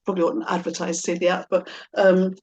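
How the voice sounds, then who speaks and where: A middle-aged woman talking casually and reflectively, thoughtful and a little hesitant, often pausing to find her words. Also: fairly low pitch, level tone, a little mellow, husky. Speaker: guest in a personal conversation.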